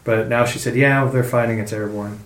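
A man in his fifties co-hosting a podcast in casual chat, easygoing and quick to laugh. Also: medium pitch, steady tone. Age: 50s. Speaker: podcast co-host. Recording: roomy room sound.

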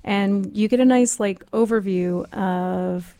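A woman's voice: medium pitch, takes it slowly, dark tone, dry recording. A woman co-hosting a literary podcast in a casual, conversational style. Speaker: host of a literary reading series. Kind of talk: casual co-hosted podcast chat.

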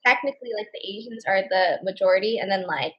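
Woman, 20s, podcast guest, chatty and upbeat, slightly husky. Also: mid-range voice, quick pace, dull audio. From Canada. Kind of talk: casual interview chat.

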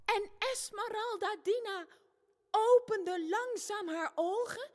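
An actress playing an imaginative, dramatic big sister, telling a fairy tale theatrically to children. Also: female voice, very high-pitched, slow pace, heavy background noise. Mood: grand, dramatic. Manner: theatrical, switches between narrating and acting.

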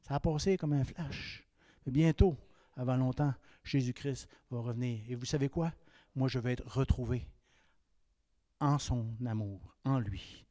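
A man in his fifties with a Québécois French accent, preaching a sermon that swings between raised exhortation and quiet, confiding asides. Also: medium pitch, a little slow, no room sound, studio quality. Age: 50s.

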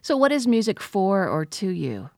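A woman's voice: mid-range voice, very animated, normal speed, vocal fry, dry recording, studio quality. A woman interviewing a radio DJ, asking direct prepared questions.

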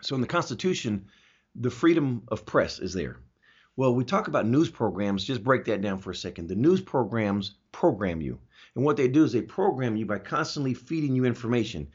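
A man with a husky voice hosting a talk show, explaining his opinions emphatically and posing rhetorical questions in a conversational way. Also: medium pitch, animated, brisk. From Germany. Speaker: talk show host answering listener questions. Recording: dull, lo-fi audio.

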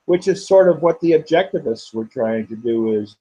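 A man, a poet and guest, speaking slowly and haltingly with many fillers in an interview. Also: fairly high pitch, expressive, warm tone, breathy edge, poor audio. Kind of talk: interview and poetry reading.

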